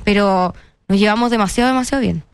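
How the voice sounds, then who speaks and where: A woman's voice: medium pitch, quick pace, smooth, no room sound. A young woman with a Chilean Spanish accent, chatting as an interview guest in a playful, self-mocking way. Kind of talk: casual interview chat.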